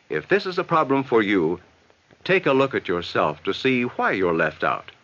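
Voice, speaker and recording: An American man reading scripted, instructive narration in a measured, advising way for a 1960s educational film. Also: medium pitch, a bit bright. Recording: dull vintage 1960s film soundtrack.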